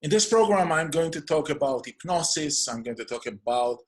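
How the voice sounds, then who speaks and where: A man's voice: a little high, lively intonation, moderate pace. A man with an Israeli accent, a native Hebrew speaker talking in English, hosting a solo introduction to his program. He speaks earnestly and stacks up lists of near-synonyms. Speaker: host.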